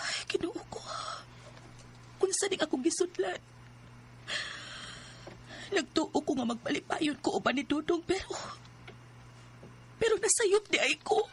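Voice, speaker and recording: An actress playing an anguished, pleading young wife in a radio drama, with a Cebuano Filipino accent and an emotional delivery. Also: female voice, very high-pitched, expressive, a little slow, long pauses, slight background noise. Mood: sorrowful. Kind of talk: Philippine radio drama acting. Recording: music bed under dramatized dialogue.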